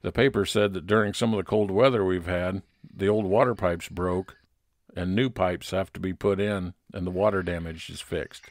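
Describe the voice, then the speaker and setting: A man's voice: sits low, steady tone, moderate pace, no room sound, studio quality. A man playing an observant, wry private investigator, matter-of-fact, in old-time radio detective style.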